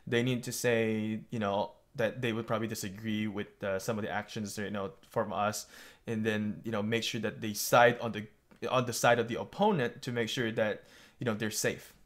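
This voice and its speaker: A man's voice: on the low side, little pitch movement, normal speed. A man hosting a casual gaming talk, reflective, repeating words as he thinks and chuckling now and then. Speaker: channel host. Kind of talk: casual chat.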